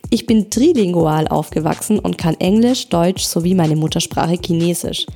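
A woman's voice: lowish voice, moderate pace, creaky, warm tone. A woman co-hosting a casual podcast who reads listener messages aloud and adds chatty asides.

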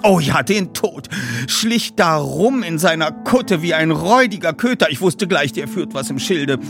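Audiobook role: brash, gushing chain-smoking neighbour woman played by a man, high, loud and very animated. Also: a little slow, creaky, soft background music, exaggerated voice. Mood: dramatic, excitable. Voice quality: coughing smoker's voice. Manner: gushing, breathless torrent of words. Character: huge brash chatty middle-aged woman, chain-smoking nosy neighbour.

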